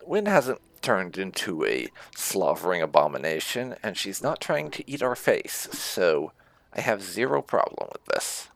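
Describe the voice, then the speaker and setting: A man's voice: sits low, big pitch swings, a little slow, vocal fry, fairly bright tone. A man hosting a gaming playthrough, giving casual commentary with light roleplay. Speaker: gaming video host.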